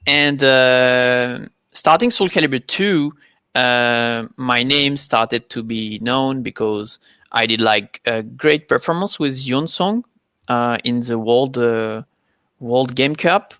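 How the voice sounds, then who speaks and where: A man from France with a French accent, a competitive player giving a halting, filler-filled account as a guest on a live video-call interview. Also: mid-range voice, pushed and bright, clear, resonant tone, no echo, studio quality. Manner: halting, many fillers and repeated words.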